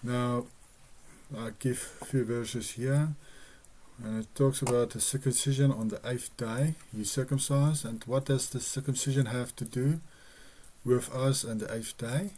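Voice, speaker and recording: Afrikaans-speaking guy, slow and earnest, kinda mellow voice, lots of restarts. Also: mid-range voice, fairly even intonation, slight background noise. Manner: earnest, measured, with hesitations and restarts. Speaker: religious video host. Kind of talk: slow personal teaching monologue.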